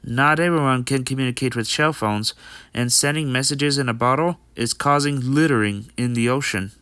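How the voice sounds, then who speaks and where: A man's voice: medium pitch, normal speed, fairly bright tone, clear, resonant tone, dry recording, studio quality, lightly acted. A man playing a friendly, dutiful young male pony in an amateur comic dub, explaining things in an earnest, read-out way. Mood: earnest, pondering.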